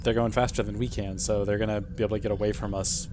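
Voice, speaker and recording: A man's voice: lowish voice, level tone, fast, over music. A man chatting casually as a player in a tabletop role-playing game. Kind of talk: casual chat.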